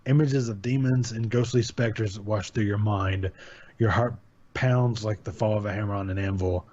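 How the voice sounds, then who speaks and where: A man's voice: fairly low pitch, steady tone, moderate pace, darkish tone. A man hosting his channel, reading a short creepypasta aloud in a casual tone and stopping to comment on its grammar errors. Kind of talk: casual story reading with asides. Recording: dull lo-fi sound.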